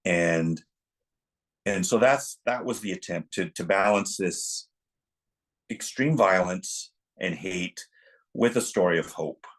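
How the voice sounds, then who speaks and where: A deep-voiced male filmmaker on an interview livecast, thoughtfully feeling his way through the story behind his work. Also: slow pace. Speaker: film director, interview guest. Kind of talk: reflective interview conversation.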